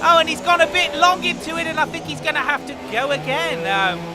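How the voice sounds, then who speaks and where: A man playing a very high-voiced race commentator. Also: over a noisy crowd. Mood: steady. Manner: excitable play-by-play, rising on fast laps. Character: male lead motorsport commentator. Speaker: play-by-play commentator. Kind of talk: fan-made motorsport commentary.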